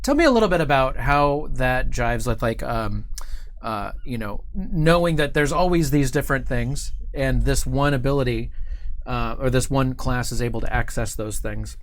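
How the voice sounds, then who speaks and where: A man's voice: medium pitch, animated, normal speed, a little hiss or hum. A man hosting a studio interview, asking loose, casual questions with fillers, on a lapel mic. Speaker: show host. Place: small studio with curtain backdrop.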